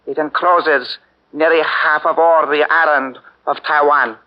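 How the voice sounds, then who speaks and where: Guy playing a stern army lieutenant, clipped bossy orders, bit high, muffled old radio sound. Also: steady tone, a little slow, close to an everyday voice. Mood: commanding. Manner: clipped commanding delivery. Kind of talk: 1940s radio acting. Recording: muffled 1940s radio broadcast.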